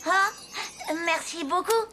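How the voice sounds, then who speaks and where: A woman's voice: extremely high pitch, takes it slowly, music in the background. An actress playing a cheerful, indulgent schoolteacher addressing her class in a French children's cartoon. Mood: delighted. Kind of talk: children's animated cartoon dub.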